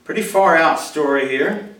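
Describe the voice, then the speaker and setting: A man, a writer speaking to an audience at a live reading in an expressive way. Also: on the high side, measured pace. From Mobile, Alabama, USA. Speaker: writer reading his own short story. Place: indoor room at a live reading event. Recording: roomy, echoey hall, distant microphone.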